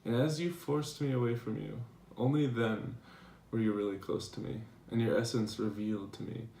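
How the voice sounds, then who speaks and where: A man playing a devoted philosopher reading his tender love letters aloud in a measured, earnest dramatization. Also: mid-range voice, fairly even intonation, vocal fry, mellow, close to an everyday voice. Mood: moved, solemn. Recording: echoey room, distant mic, faint noise.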